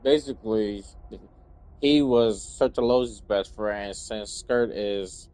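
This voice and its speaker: A man's voice: lowish voice, takes it slowly, a little mellow, smooth. A man giving casual, off-the-cuff commentary as he plays through a game's story. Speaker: gamer reacting to game story.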